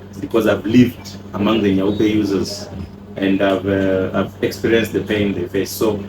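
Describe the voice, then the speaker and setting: A man from Uganda, a pharmacist and company founder, answering interview questions in a calm, measured way. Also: low voice. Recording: echoey room, noisy background.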